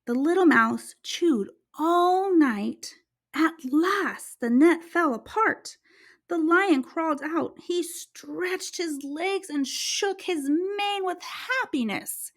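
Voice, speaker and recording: A woman's voice: very high voice, animated, slow. A woman reading a children's story aloud as host, with dramatic, careful narration.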